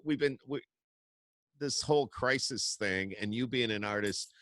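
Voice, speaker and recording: A man's voice: medium pitch, unhurried, a bit bright, no room sound. A man hosting a casual podcast interview, jokey and chummy, stumbling a little over his questions. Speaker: radio host.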